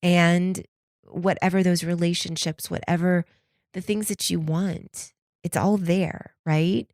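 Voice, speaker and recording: Lady podcaster w a stuffy nose, kinda croaky, chatty n candid, rambles casually, real dry close sound. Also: fairly low pitch, steady tone, takes it slowly, a little mellow, no room sound. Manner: candid, conversational, slangy, acts out imagined quotes. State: congested, starting to lose her voice. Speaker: podcast host.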